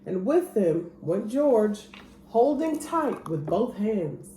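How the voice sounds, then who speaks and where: A woman reads a picture book aloud to children with very animated intonation. Her voice is fairly high and a little mellow, recorded in an echoey room. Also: slow. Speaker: storyteller reading aloud. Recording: roomy echo, clear background noise.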